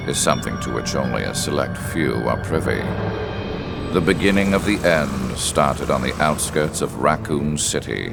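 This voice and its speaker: A gravelly, cold villain narrator. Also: male voice, rumbling low, loud music behind the voice. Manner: slow, grave, measured delivery. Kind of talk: game cutscene narration.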